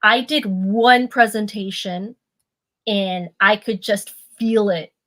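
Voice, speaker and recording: A woman storyteller from Peru, raised in Canada, speaks as a guest in casual conversation. She talks in a stop-start, self-deprecating way, often restarting her sentences. Her pitch is ordinary and mid-range. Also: takes it slowly, fairly bright tone. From born in Peru, raised in Canada.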